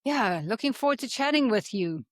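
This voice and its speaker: A woman hosts a podcast and introduces her guest with upbeat, polished delivery. Her voice sits slightly high. The sound is dry and close-miked. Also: expressive, brisk, no echo. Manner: upbeat, smooth, well-rehearsed delivery. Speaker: podcast host. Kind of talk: scripted guest introduction and interview.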